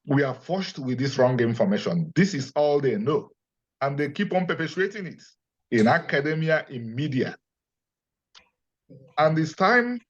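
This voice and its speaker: A middle-aged man from Nigeria with an Igbo accent, speaking as a scholar and interview guest in an earnest, emphatic, deliberate way. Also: mid-range voice. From Nigeria, Igbo land. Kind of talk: interview, lecturing. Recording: dull lo-fi audio.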